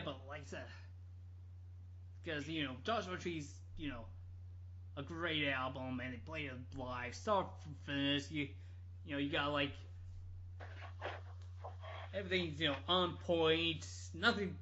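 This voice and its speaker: A man telling a story casually on a podcast, halting and rambling as he goes. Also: on the high side, bright, forceful tone. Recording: dull lo-fi audio.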